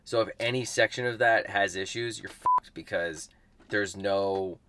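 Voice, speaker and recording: Guy vlogging from the car, low voice, kinda rambly, swears a bit, loud road noise everywhere. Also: unhurried. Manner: loose and rambling, swears offhandedly. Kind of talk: casual on-the-road chat to camera. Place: inside a moving vehicle.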